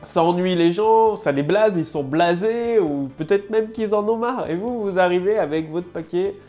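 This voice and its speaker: A woman's voice: lowish voice, normal speed, mellow, faint music behind. A woman with a head cold giving a casual marketing tutorial to camera, loose and full of fillers and restarts. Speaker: online marketing coach.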